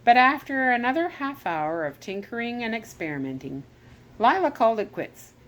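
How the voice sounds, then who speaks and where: A woman reading a children's chapter book aloud as a storyteller, expressive and animated, now and then correcting herself. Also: fairly high pitch, normal speed. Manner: expressive read-aloud with lively rises on exclamations; occasional self-corrections.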